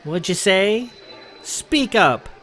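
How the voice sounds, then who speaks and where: A man voicing a brash, bullying young noblewoman with a loud, sneering voice in a read-aloud fantasy story. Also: mid-range voice, animated, unhurried.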